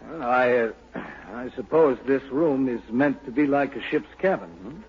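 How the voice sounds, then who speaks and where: An actor playing a measured, skeptical doctor who questions hesitantly and deliberately, in 1940s radio style. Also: male voice, mid-range voice, close to an everyday voice. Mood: curious, polite. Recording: muffled, hissy 1940s radio broadcast.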